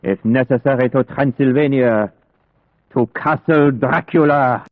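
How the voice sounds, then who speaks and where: An actor playing a devout, learned vampire hunter in an old radio drama, speaking formally and deliberately with foreign-sounding word order. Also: male voice, mid-range voice, close to an everyday voice. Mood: resolute. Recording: muffled, band-limited vintage radio recording.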